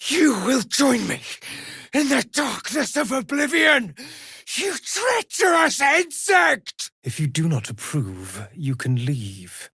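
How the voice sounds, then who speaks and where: A man's voice: medium pitch, fairly bright tone, husky, no room sound, clean studio sound, exaggerated voice. A man playing a solemn, menacing necromancer judge hungry for vengeance in a fantasy video game, speaking formally and theatrically in archaic phrases. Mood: furious, then calm, formal.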